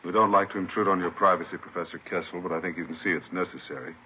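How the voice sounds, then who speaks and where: A man's voice: sits low, very fast, natural voice. A man acting a plainspoken, practical police detective, terse and businesslike, in old radio crime drama style. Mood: courteous. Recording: tinny, noisy vintage radio recording.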